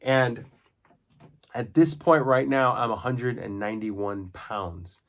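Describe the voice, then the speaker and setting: A man's voice: medium pitch, takes it slowly. A man with a coarse, congested voice, explaining things in an earnest, plain-spoken way in a casual video diary. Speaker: vlogger documenting his own diet.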